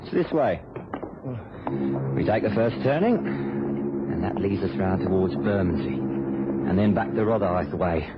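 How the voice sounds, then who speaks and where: An actor playing a calm, quietly menacing police sergeant, a villain in a vintage radio drama. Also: male voice, low-pitched, measured pace, pauses often, heavy background noise. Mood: calm, matter-of-fact. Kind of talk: 1950s-60s British radio drama acting. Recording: muffled old radio, loud music behind.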